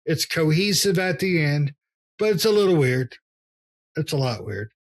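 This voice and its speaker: A man's voice: fairly high pitch, animated, moderate pace. A man co-hosting a podcast with casual, joking banter about movies and some offhand swearing. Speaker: podcast co-host.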